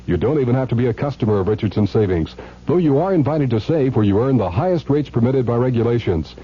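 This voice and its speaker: A male commercial announcer with a husky, mid-pitched voice reads vintage radio copy. His intonation is lively, and the recording is a muffled old broadcast. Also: quick pace. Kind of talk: scripted vintage radio advertisement.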